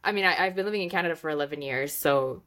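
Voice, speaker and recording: A woman's voice: deep voice, very fast, a bit bright. Chatty woman host. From Moldova. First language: Russian. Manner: chatty, searching for words, many fillers. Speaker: podcast host. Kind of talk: casual interview chat.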